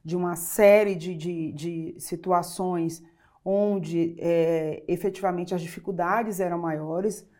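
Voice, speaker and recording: Guest: middle-aged woman from Bahia, low warm voice, earnest, reflective. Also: fairly even intonation, slow pace. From Bahia, Brazil. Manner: reflective, earnest, with fillers and restarts. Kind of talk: interview conversation.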